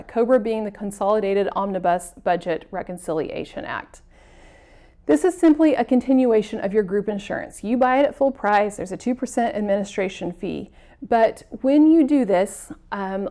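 A woman's voice: on the high side, warm tone. A woman in her thirties presenting an educational talk to camera in a studio, earnestly walking through examples in the first person. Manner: earnest, uses first-person examples, some self-corrections. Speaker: extension agent presenter. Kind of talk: explanatory lecture to camera. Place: studio with plain backdrop.

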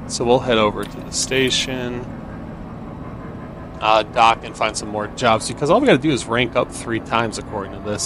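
A man's voice: on the low side, background noise. A man giving casual game commentary, thinking aloud in short phrases. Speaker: gameplay streamer.

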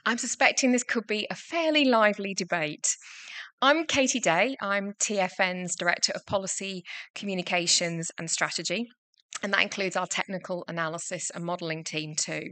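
A middle-aged woman opening a conference panel from a lectern, giving a prepared welcome speech in a steady, formal way. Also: mid-range voice, normal speed, dry recording. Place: conference stage indoors. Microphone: lectern microphone.

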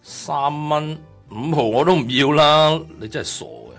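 A man with a Hong Kong Cantonese accent playing a stingy, sharp-tongued middle-aged boss in a radio drama. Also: on the high side, animated, measured pace, gravelly, full character voice. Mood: brusque. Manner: brusque, dismissive, stammers when frightened. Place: small padded radio studio. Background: music.

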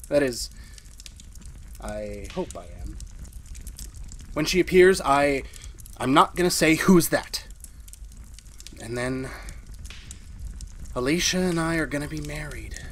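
A woman's voice: deep voice, a little hiss or hum. A woman playing a nervous, henpecked husband in a retro radio drama, confiding hesitantly and getting flustered. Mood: agitated, resolute. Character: nervous, henpecked husband, a university history teacher. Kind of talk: retro 1940s radio drama, first-person narration.

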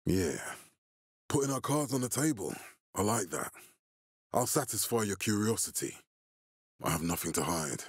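An actor playing a menacing middle-aged military leader in a video game, authoritative, curt and measured. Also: male voice, fairly low pitch, very animated, husky, no room sound, clean studio sound. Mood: stern, controlled. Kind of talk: dramatic video game voice acting.

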